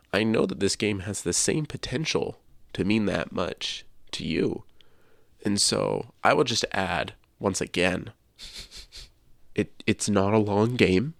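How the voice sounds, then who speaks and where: A male podcast co-host speaks in a low voice with plenty of vocal fry. The sound is dry, and he talks casually but earnestly, leaning on words for emphasis. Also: a bit bright, no echo.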